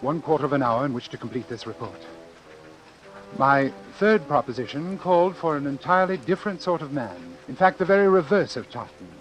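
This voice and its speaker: An actor playing a cold, calculating doctor in a vintage suspense radio drama, speaking in a formal, precise, measured way. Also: male voice, a little high, big pitch swings, soft background music, poor audio. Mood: cold, reflective. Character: cold, calculating male doctor obsessed with his experiment. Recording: hissy old radio recording.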